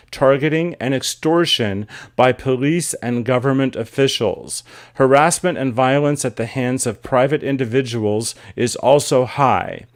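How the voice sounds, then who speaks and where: Guy hosting an interview over video call, reads a long statement out steady, some uhs. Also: mid-range voice. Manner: hesitant fillers, measured reading. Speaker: talk show host.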